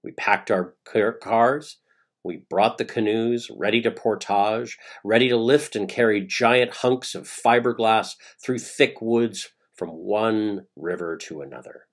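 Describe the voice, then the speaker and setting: A middle-aged man with a Canadian accent reading a dramatic first-person monologue in a theatrical, suspenseful way with deliberate pauses. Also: lowish voice. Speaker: playwright reading his own play. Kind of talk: dramatic autobiographical monologue, storytelling.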